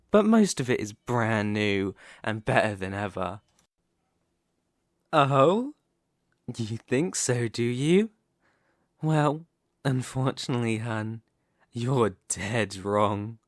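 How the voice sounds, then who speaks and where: A man's voice: medium pitch, no room sound. A man voicing a dominant, smug Demon King in an ASMR roleplay, taunting and persuasive, with a theatrical, mocking delivery close to the microphone. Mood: gloating.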